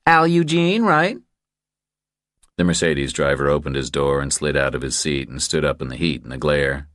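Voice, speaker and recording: Very deep-voiced male audiobook narrator. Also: steady tone, brisk, no echo, studio quality. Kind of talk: scripted thriller narration.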